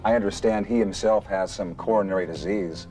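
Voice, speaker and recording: A man's voice: fairly low pitch, fairly quick, mellow, faint music behind, lightly acted. A man playing a heart patient, speaking conversationally in a scripted medical dramatization. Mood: convinced.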